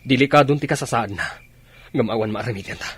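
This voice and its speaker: An actor with an Ilocano accent playing a steady commanding army officer in a radio drama, terse and authoritative. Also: male voice, medium pitch, quick pace, breathy edge. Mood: grave, concerned.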